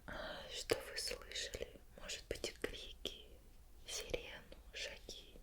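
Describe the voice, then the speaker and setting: A woman acts out a female investigator in an ASMR roleplay. She speaks entirely in a whisper. Her questioning is patient yet suspicious, slow and probing, with pauses between phrases. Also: close to an everyday voice. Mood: calm probing.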